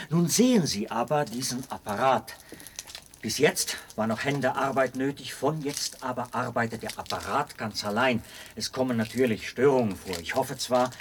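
An actor in a radio play playing a devoted, zealous penal colony officer who explains things in a talkative, pedantic way. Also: male voice, mid-range voice, close to an everyday voice. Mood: eagerly explaining. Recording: noisy binaural radio play recording with clicks.

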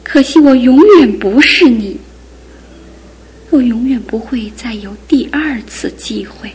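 An actress playing a middle-aged aunt in a radio drama, speaking softly and often in a hushed whisper. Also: female voice, on the high side, measured pace, slightly rough. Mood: wistful. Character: aging aunt, gentle, grieving an old love.

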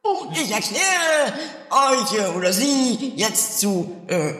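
Very high, ceremonious registrar. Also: male voice, very animated, sharp tone, heavily acted. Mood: flustered, official. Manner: halting, ceremonious, stumbling. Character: bumbling, officious wedding registrar. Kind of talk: comedy radio play acting. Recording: echoey hall, long reverb.

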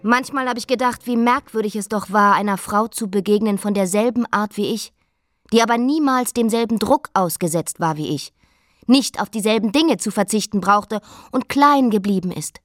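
A woman's voice: mid-range voice, moderate pace, no echo, clean studio sound, lightly acted. An actress playing a proud, imperious, cutting queen in a radio drama, haughty and declamatory. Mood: proud, musing.

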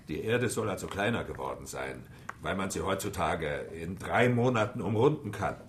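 An actor in a German radio play, playing a scoffing, stubborn English club gentleman with a mocking, emphatic way of talking. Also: sits low, animated, normal speed, slight background noise. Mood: mocking disbelief.

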